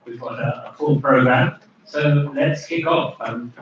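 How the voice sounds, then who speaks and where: A man's voice: mid-range voice, steady tone, a little slow, a bit bright, slight background noise. A man opening a conference as its chair, speaking hesitantly with many fillers. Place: conference room. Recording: echoey hall from far off, dull sound.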